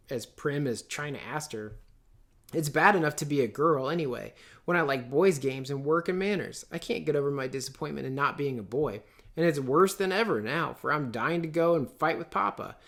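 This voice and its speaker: A man's voice: mid-range voice, fairly bright tone, lightly acted. A man voicing a headstrong, blunt tomboy teenage girl in a storybook reading, exclamatory and defiant.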